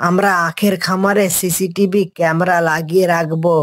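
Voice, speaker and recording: A man's voice: high voice, flat delivery, normal speed, natural voice. A man voicing a deferential, lazy animal helper in a cartoon, respectful but complaining. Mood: eager, worried. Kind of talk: cartoon voice acting.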